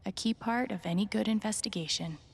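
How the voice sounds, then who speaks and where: A woman playing a determined young female investigator thinking aloud in a video game, with a husky, creaky voice. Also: medium pitch, brisk. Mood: determined, musing. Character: determined young woman investigator, dead man's little sister. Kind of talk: game character inner monologue.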